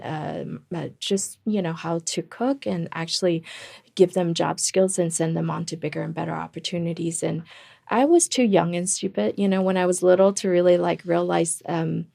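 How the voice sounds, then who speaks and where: A woman's voice: on the low side, gravelly, dark tone. A middle-aged woman from Taiwan, a podcast guest talking earnestly about her family, with many fillers and restarts. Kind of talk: interview conversation.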